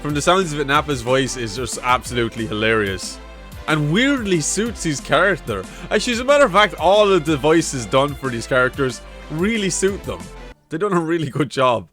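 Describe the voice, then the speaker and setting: A man's voice: fairly high pitch, animated, normal speed, a bit bright, faint music behind. A man hosting a casual reaction video, chatting in a hyped, fannish way and calling viewers dudes. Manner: hyped, repeats lines he enjoys, says dude a lot.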